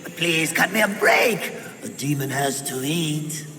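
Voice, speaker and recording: A man playing a sly, wheedling, theatrical demon merchant in a video game, flattering and drawing out his words. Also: a little high, in a large hall, soft background music, heavily acted. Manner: flamboyant, drawn-out, swooping. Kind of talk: scripted video game cutscene acting.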